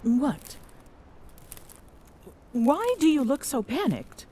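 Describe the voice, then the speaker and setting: A woman voicing a tall, proud Amazonian queen who is smitten and flustered, speaking haltingly in regal phrases for an intimate ASMR roleplay. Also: high-pitched, big pitch swings, mellow, slightly rough, exaggerated voice. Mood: indignant, flustered.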